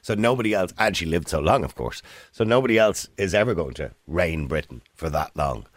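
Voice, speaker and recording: A man hosting a call-in radio show, talking quickly and pushing back on callers. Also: sits low, animated, dry recording, clean studio sound. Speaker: radio phone-in host. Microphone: studio mic.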